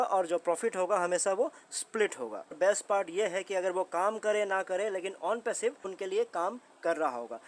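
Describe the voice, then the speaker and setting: High-pitched Indian man explaining informally. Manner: conversational, repeats points, puts rhetorical questions to listeners. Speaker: host of a commentary channel on a company's updates.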